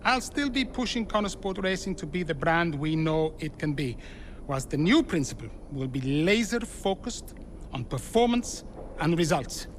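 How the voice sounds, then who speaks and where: High-pitched, commanding male team owner acted. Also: animated, normal speed, slight background noise, lightly acted. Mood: formal. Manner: commanding, formal. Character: smooth, self-assured male racing team owner. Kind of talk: formal speech to staff.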